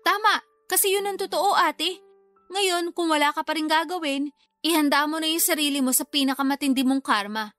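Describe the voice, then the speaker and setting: Blunt younger sister, Filipino, high voice, scolding, dry studio sound, radio drama. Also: female voice, fairly quick, a bit bright, no echo, soft background music. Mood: scolding, accusing. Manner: scolding, emphatic. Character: blunt, accusing younger sister in a family quarrel.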